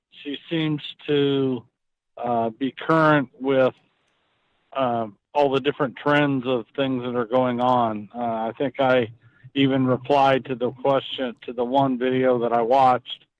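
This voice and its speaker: A man in an unscripted phone conversation as a client, measured and hesitant, thinking his answers through aloud. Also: mid-range voice, no room sound, rough recording.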